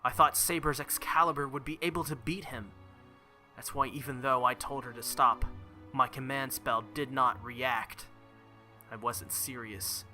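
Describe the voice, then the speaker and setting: A man's voice: mid-range voice, steady tone, a little slow, bright, forceful tone, faint music behind. A man hosting a let's play, reading visual novel text aloud in a dramatic narrating style. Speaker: let's play video host.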